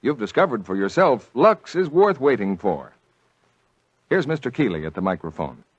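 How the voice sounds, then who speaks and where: An American man announcing and pitching a product in polished, scripted 1940s radio style, with a husky voice. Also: sing-song, moderate pace, no room sound, dull audio. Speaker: announcer and ad spokesman.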